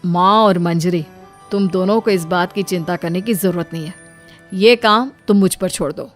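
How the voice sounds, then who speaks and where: A woman voicing a calm, practical, caring husband in a cartoon moral story. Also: on the low side, normal speed, soft background music, close to an everyday voice. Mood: reassuring.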